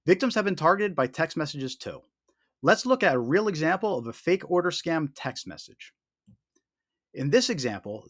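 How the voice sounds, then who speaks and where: A man reading a scripted explainer as host, with emphatic, deliberate delivery and short warning statements. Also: fairly high pitch, a bit bright, dry recording, studio quality. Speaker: host of a scam awareness video.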